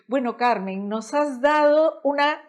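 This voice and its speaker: A woman host, speaking in a measured way and filling with "diríamos". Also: high voice. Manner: warm-thanking, measured, uses "diríamos". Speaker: program host. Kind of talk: slow hosting and interviewing.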